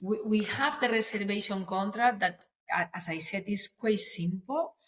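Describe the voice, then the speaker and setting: A woman's voice: mid-range voice, moderate pace, fairly bright tone. Woman, lawyer explaining stuff on a podcast, earnest, english. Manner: earnest, explanatory, non-native phrasing with restarts. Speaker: property lawyer, guest.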